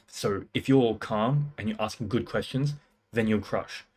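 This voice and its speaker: A man's voice: fairly low pitch, unhurried, a little mellow, breathy edge. A young man giving casual, confident sales-career advice in a punchy, slangy way. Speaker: music producer and sales coach. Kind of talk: casual talking-head advice.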